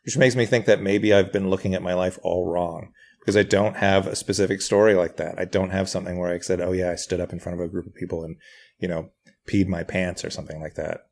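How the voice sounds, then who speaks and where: A man's voice: low-pitched, flat delivery, quick pace, gravelly, darkish tone. A middle-aged man, telling anecdotes casually in a self-deprecating, hesitant way as a podcast guest. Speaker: podcast guest, writer and comedian.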